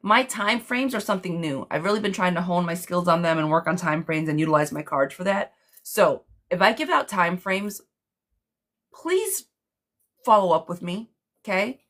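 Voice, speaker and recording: Hoarse woman w a cough doing a live tarot read, lowish voice, chatty and reassuring. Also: expressive, normal speed, fairly bright tone. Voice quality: hoarse, smoky. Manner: chatty, reassuring, calls listeners girlfriend. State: sick with a cough. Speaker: tarot reader. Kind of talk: live tarot reading for viewers.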